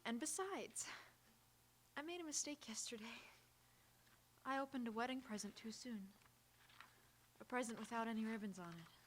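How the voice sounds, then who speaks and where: A woman's voice: fairly high pitch, moderate pace, long pauses, slight background noise, close to an everyday voice. A young woman playing a proud, wry, emotional society bride in live radio theatre. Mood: gentle, wistful.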